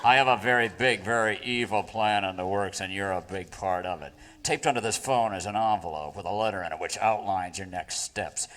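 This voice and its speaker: Commanding male crime boss, played by a man, theatrically sinister, fairly low register, radio serial parody. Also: fairly quick, hardly any pauses, fairly bright tone, slight background noise, natural voice.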